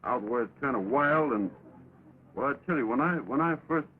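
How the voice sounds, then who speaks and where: An actor playing a gentle, teasing young cowhand with a folksy American drawl in an early talkie film. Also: male voice, medium pitch, animated, moderate pace, lightly acted. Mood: halting, apologetic. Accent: American, cowboy drawl. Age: young adult. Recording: crackly, tinny 1920s film soundtrack.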